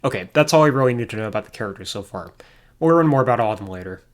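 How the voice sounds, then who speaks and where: A man's voice: on the low side, expressive, very fast. A man reading scripted commentary as a video reviewer, with a wry, sarcastic manner. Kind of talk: scripted commentary over show clips.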